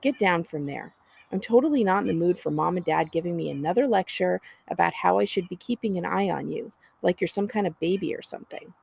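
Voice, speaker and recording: A woman's voice: on the low side, lively intonation, fairly quick, vocal fry, a little mellow, breathy edge, full character voice, poor audio. A woman reading a storybook as an impatient, sardonic teenage older sister who scolds in exasperation. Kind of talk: children's storybook character reading.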